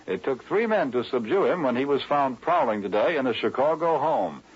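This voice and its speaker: Male news announcer, American, husky mid-range voice, vintage broadcast sound. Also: mid-range voice, lively intonation, moderate pace. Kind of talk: 1950s radio newscast. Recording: muffled vintage radio recording.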